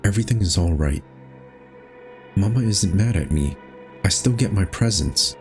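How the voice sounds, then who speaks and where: A man's voice: low-pitched, expressive, moderate pace, a little mellow, soft background music. A man reading scripted, storybook-style narration for a horror game.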